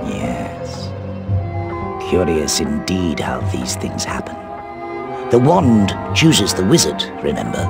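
Eccentric old British wizard, creaky, swooping. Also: male voice, rumbling low, sing-song, heavily acted. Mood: ominous, musing. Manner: theatrical, drawn-out, courteous. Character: eccentric, eerie old male wand maker and magic tutor. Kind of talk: theatrical video game character acting. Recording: game music behind the voice.

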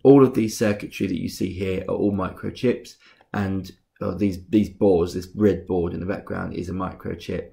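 A young man with a cold, sounding husky and rough and a bit bunged up, calmly talking through a game-making tutorial step by step with frequent ums. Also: low voice, normal speed. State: congested from a cold. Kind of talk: screen-recorded software tutorial.

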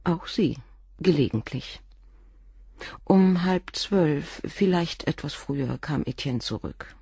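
Husky, dutiful watchful wife. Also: female voice, low-pitched, animated, slow, mellow, no echo, studio quality, heavily acted. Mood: firm, defensive. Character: dutiful, watchful wife guarding her husband. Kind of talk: German radio crime drama acting.

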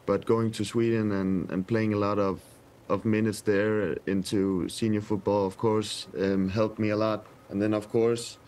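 A young man in his twenties with a Danish accent, answering interview questions over a video call from a press room, hesitant and soft-spoken with many pauses. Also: lowish voice, little pitch movement. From Denmark. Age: 20s. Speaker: footballer guest. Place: indoor press room with sponsor backdrop. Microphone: webcam video call.

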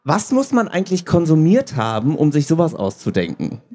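Higher-pitched man hosting a stage interview. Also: huge pitch swings, a little fast, a little mellow. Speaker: host, audiobook producer. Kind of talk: live stage interview moderation. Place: stage at a book fair.

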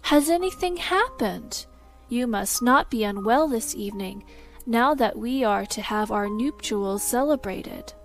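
A woman's voice: fairly high pitch, lively intonation, unhurried, faint music behind. A woman voicing a genteel princess who sounds sweetly concerned yet coldly calculating. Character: cold, genteel young princess.

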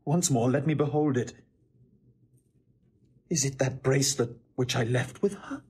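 A proud shakespeare husband, formal earnest declaiming, kinda husky, medium pitch. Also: male voice, a little slow, a little mellow. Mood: uneasy. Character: proud, trusting exiled husband, stung by jealous doubt. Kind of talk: classical Shakespearean audio drama acting.

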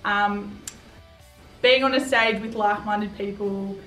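A woman's voice: mid-range voice, fairly even intonation, slow, fairly bright tone. Upbeat young Australian woman teacher. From north coast of New South Wales, Australia. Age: young adult. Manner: upbeat and enthusiastic. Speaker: kindergarten teacher. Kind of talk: casual to-camera interview. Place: classroom with artwork on wall. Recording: echoey room, distant mic, faint music.

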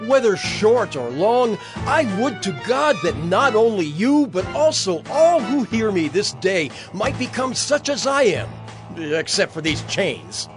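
An actor playing an impassioned, steadfast apostle and prisoner in a dramatized audio Bible, earnest and declamatory. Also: male voice, high voice, big pitch swings, a little slow. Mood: fervent pleading. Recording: voice over prominent music score.

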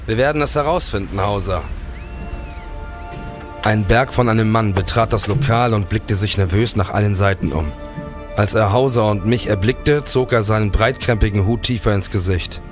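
Actor as low-voiced period policeman over music. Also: moderate pace, close to an everyday voice. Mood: intent. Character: determined male policeman narrating his own old-time adventures. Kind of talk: scripted narration and dialogue, period audio drama.

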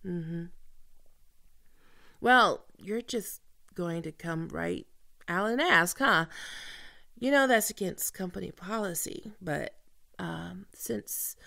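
A woman playing a flustered, flirty team leader in an ASMR roleplay, speaking haltingly and intimately to the listener with heavy vocal fry. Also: lowish voice, fairly bright tone, exaggerated voice. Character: flustered, flirty female team leader falling for a new coworker. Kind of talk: ASMR roleplay audio drama, one-sided conversation.

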